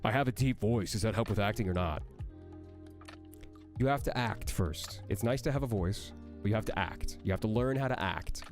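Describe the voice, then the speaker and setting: A man's voice: on the low side, moderate pace, faint music behind. A young man, a voice actor, reading out and answering chat questions on a livestream, with a nasal voice that sounds like he has a cold, playful and joking.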